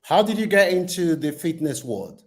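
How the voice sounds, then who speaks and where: A man's voice: a little high, big pitch swings, normal speed. A man presenting and asking questions as an interviewer, in an earnest informational style about everyday matters.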